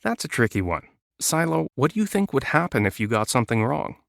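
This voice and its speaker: A man's voice: mid-range voice, very animated, fairly quick, gravelly, no echo, clean studio sound. Wise, patient lady elephant voiced by a man. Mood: thoughtful. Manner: patient, reassuring explaining. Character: wise, gentle female elephant counselling youngsters.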